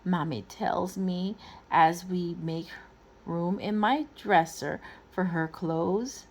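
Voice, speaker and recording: A woman reading a children's picture book aloud in an expressive way, phrasing deliberately and acting out the dialogue. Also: fairly low pitch. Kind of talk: expressive storybook read-aloud.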